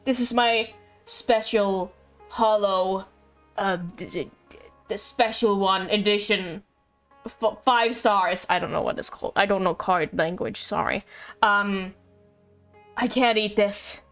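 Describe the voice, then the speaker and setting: A woman's voice: medium pitch, takes it slowly, fairly bright tone. A woman doing casual live game stream commentary, playful and rambling with mock-indignant asides. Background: game music.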